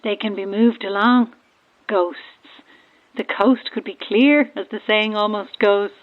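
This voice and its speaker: A woman's voice: mid-range voice, fairly even intonation, measured pace, phone-quality sound. Thin radio-filtered woman, eerily teasing. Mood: ominous. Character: eerie, self-aware female ghost believer calling from stranded boat. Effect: radio transmission filter. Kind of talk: naturalistic audio horror drama acting. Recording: thin, band-limited marine radio.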